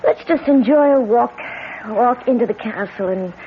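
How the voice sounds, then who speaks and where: An actress playing an anxious, impressionable young American wife in a radio drama, with an American accent. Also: female voice, fairly high pitch, normal speed, a little hiss or hum, natural voice. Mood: dreamy, fascinated. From United States. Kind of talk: old radio drama acting. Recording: lo-fi old radio broadcast with music.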